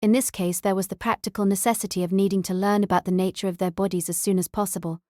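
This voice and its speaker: Female audiobook narrator, mid-pitched, reading a fantasy novel in a dry, close recording. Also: fairly even intonation, fast, a little mellow, no room sound.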